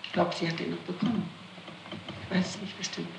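A woman answering questions in an interrogation, explaining herself in halting detail, on an old 1960s tape. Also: lowish voice, steady tone, vocal fry, echoey room, a little hiss or hum, poor audio. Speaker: person being questioned in a criminal case.

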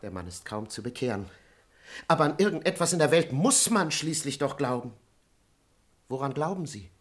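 A man's voice: medium pitch, expressive, normal speed, lightly acted. Man acting a talkative, pious comic servant. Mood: disapproving. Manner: earnest, argumentative, pseudo-learned rambling. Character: talkative, pious male servant disguised in doctor's robe. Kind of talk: German radio play of classic comedy.

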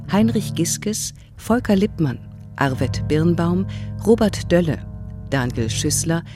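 Credits announcer for a radio play: deep-voiced woman reading from script, clear guitar music underneath.

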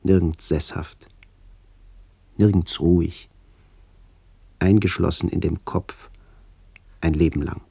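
A man acting in a radio play as a brooding narrator haunted by memories of his lost village, speaking in reflective, halting phrases. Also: sits low, fairly even intonation, creaky, warm tone, slightly rough, no room sound, exaggerated voice.

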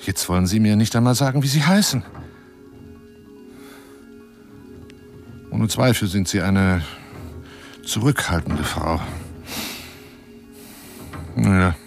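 Lonely boastful adventurer, low creaky voice, florid courtly delivery, insistent, radio play monologue. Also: male voice. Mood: bewildered, pleading, then wistful, ingratiating. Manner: florid, courtly, insistent, swinging between whisper and exclamation. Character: boastful lonely male romantic adventurer courting a woman by telephone.

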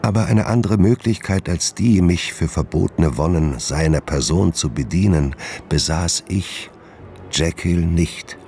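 Radio drama: haunted doctor, bottom-register gravel, secretive, brooding, literary. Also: male voice, deep voice, unhurried, mellow, slight background noise. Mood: brooding, confessional. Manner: brooding, formal literary diction. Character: tormented gentleman doctor, secretive, guilt-ridden, haunted by his dark side. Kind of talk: German radio drama acting and first-person narration.

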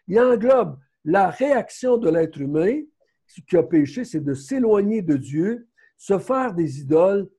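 A man's voice: high-pitched, mellow, slightly rough. A man with a Québécois accent teaching a Bible study in a conversational way, often stopping to correct himself. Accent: Québécois French. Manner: conversational, frequent self-corrections.

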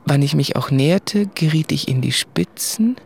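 An actor playing an anguished, introspective writer in a literary radio drama, confessing in a halting, brooding way. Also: male voice, a little high, animated, gravelly, slight background noise. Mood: pained, brooding. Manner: slow, halting, brooding, with deliberate pauses.

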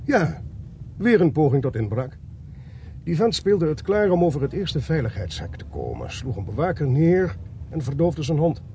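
A sly, self-assured investigator in a radio drama. His gravelly voice swings very widely in pitch, wry and sarcastic, with a Netherlands Dutch accent, over faint noise. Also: very animated, moderate pace, mellow. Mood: musing. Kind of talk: Dutch radio play acting.

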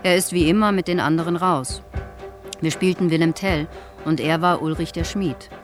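A man's voice: fairly high pitch, normal speed, natural voice. An actor playing a wistful theatre performer in his fifties, recalling things casually in a radio drama monologue. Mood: reflective, wistful. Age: 50s. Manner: reflective, casual, explanatory. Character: wistful 58-year-old male theatre actor giving witness statement. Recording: guitar music under the voice. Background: guitar music.

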